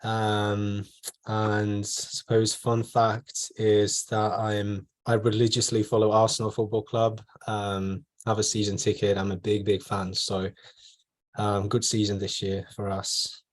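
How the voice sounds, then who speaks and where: Low, even-voiced man from Poland. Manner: measured, many ums and you-knows. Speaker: medical student mentor. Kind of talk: online panel talk. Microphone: video call.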